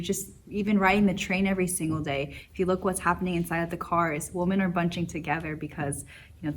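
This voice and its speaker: A teenage girl makes her point in a thoughtful, well-spoken way. Her voice sits a little low, with a faint hum of ambience behind her. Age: teens. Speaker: student. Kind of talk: opinion statement in a discussion.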